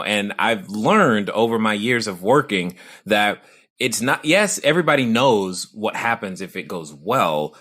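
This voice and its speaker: A man's voice: fairly low pitch, fairly bright tone. A male podcast host interviewing a guest, full of asides, easy laughs and restarted words. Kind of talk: interview, casual chat.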